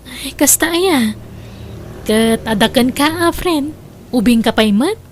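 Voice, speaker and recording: A woman's voice: high-pitched, expressive, slow pace, heavily acted. A woman with an Ilocano accent playing a curious, encouraging friend in an audio drama, conversational and coaxing.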